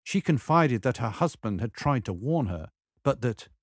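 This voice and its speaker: A man reads a memoir in a measured, wry storytelling manner. His pitch sits in the middle range but moves around in a lively way. The sound is close and dry, with very little room on it. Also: dry recording. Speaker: memoir reader. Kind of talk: scripted memoir narration.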